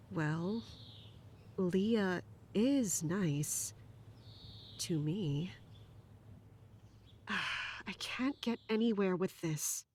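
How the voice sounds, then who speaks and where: An actress playing a determined, polite young woman investigator, narrating and speaking in a measured, earnest way in an audio drama. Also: on the low side, a little mellow, a little hiss or hum. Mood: wry, frustrated.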